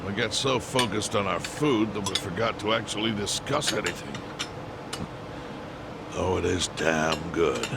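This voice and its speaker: An actor playing an elderly, world-weary chairman in a video game, with a measured, dry, pragmatic manner over background noise. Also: on the low side, gravelly. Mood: genial. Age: older. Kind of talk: English video game voice acting.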